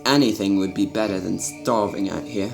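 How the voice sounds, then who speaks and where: A man's voice: on the low side, normal speed, creaky, music in the background. A man playing a naive, spoiled young prince in a radio drama. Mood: determined. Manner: hesitant, indignant.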